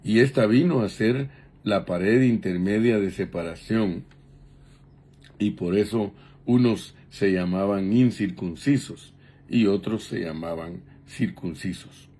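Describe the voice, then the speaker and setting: A man preaching a Bible teaching sermon in a deliberate, measured way, pausing between short phrases. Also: lowish voice, warm tone, husky.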